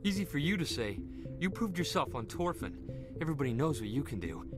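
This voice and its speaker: A man's voice: a little high, very animated, fairly quick. An actor playing a restless young male soldier who is impatient and keen for action. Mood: eager. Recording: game audio under music.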